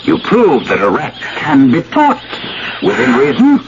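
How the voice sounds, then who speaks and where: A dull, hissy old radio recording carries a vengeful, unhinged husband. His delivery swings hugely in pitch, savoring each threat with theatrical, mocking politeness. He is a gloating radio-play villain who draws out his menace. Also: wildly swooping pitch, measured pace. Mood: mocking, sadistic. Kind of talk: 1970s radio drama acting.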